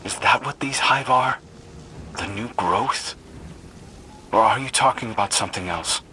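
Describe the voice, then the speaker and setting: A man's voice: lowish voice, a little slow, crowd noise, natural voice, rough recording. A man playing a troubled, questioning younger warrior with a sombre delivery in a video game cutscene. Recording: loud music covering the dialogue.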